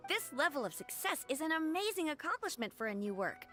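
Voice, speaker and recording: A woman's voice: high-pitched, sing-song, fairly quick, pushed and bright, soft background music, exaggerated voice. A woman voicing a polite, upbeat, businesslike female editor in a video game, courteous and professional. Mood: pleased.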